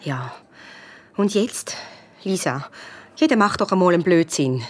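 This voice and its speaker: A woman's voice: lowish voice, lively intonation, unhurried. A woman playing a biology teacher with a Swiss accent, evasive and sheepish, hesitant and self-justifying in a children's radio play. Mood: sheepish, confessing.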